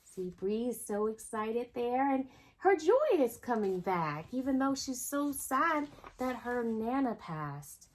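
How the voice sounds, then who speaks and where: A woman's voice: a little high, big pitch swings, takes it slowly, poor audio. A woman hosting a children's storytime, reading a picture book aloud expressively and speaking directly to young listeners. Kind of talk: storytime read-aloud with asides to young viewers.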